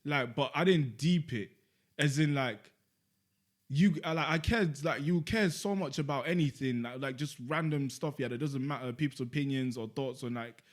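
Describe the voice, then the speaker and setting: A man's voice: medium pitch, normal speed. A young man, a podcast co-host talking in casual chat, reflective and rambling, with lots of "like" and "you get me". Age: young adult.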